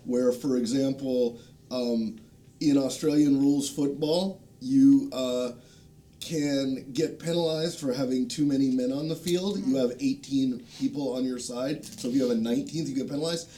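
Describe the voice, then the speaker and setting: A man chatting casually as a panel guest, recommending things in a halting way, with plenty of ums and pauses. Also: fairly even intonation, dark tone. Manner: hesitant, halting delivery with frequent ums and long pauses. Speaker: guest, game designer. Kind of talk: casual panel chat, recommending video channels. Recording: low rumbling background noise.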